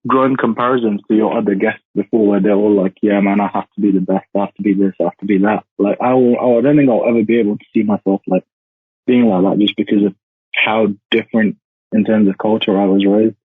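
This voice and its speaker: A man's voice: on the low side, steady tone, fast, slightly rough, no echo. A man in his twenties who grew up in India, chatting casually as a podcast guest over a call, rambling with many "like" fillers. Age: 20s. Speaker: podcast guest, law graduate. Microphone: calling in remotely. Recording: tinny, phone-like call audio.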